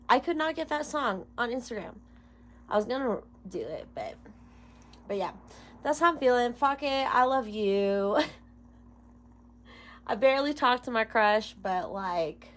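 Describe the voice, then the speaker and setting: A woman in her thirties chatting casually to her viewers as a tarot reader, rambling, swearing freely and breaking into giggles. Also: on the high side. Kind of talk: casual rambling vlog chat.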